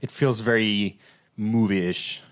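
Lower-voiced man, informal and opinionated. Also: steady tone, takes it slowly. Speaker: film podcast co-host. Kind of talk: casual film discussion.

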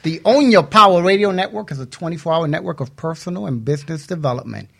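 A man's voice: fairly high pitch, quick pace, vocal fry, a bit bright. A man co-hosting a radio talk show, speaking in a casual conversational style.

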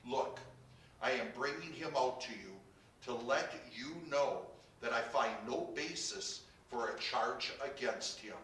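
Male reader as Roman governor in scripture drama, troubled but commanding, deliberate, echoey and off-mic. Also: medium pitch, bright, forceful tone, a little hiss or hum. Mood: firm, troubled. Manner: declamatory, emphatic, deliberate. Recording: echoey room, distant microphone.

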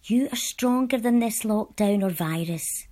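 A woman reading personal letters aloud from a script in a measured, earnest way. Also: mid-range voice, mellow. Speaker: volunteer reader.

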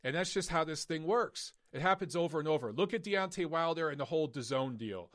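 Man, boxing podcast host, hoarse voice, emphatic, opinionated, slightly high pitch. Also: animated, a little fast. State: losing his voice. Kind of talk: live call-in podcast talk.

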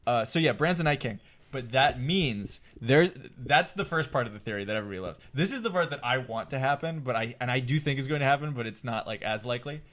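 A man co-hosting a podcast, bantering casually like a fan in an excitable, emphatic, sometimes profane way. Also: mid-range voice, quick pace. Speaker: podcast co-host.

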